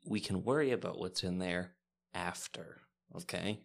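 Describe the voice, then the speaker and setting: A man playing a tabletop game, talking casually and halting as he searches for words. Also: sits low, vocal fry. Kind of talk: casual in-character roleplay and chat.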